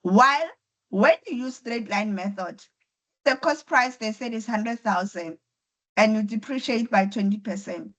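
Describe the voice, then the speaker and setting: A woman's voice: fairly high pitch, a little slow, a bit bright, low-quality recording. Woman teaching with a flu-affected voice. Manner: patient, step-by-step explaining with repetition. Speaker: accounting tutor. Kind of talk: online lecture. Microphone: computer mic on a video call.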